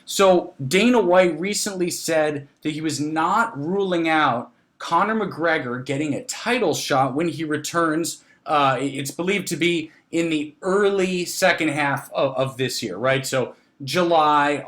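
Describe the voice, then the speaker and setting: Higher-pitched man hosting a sports podcast. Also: a little slow, hardly any pauses, a bit bright. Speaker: podcast host. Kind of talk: casual sports podcast chat.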